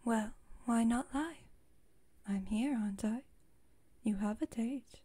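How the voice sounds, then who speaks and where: A woman playing a haughty yet protective, playful female vampire in a soft, whispered ASMR roleplay, with formal, wry, teasing speech. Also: fairly high pitch, takes it slowly, husky. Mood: smug, playful.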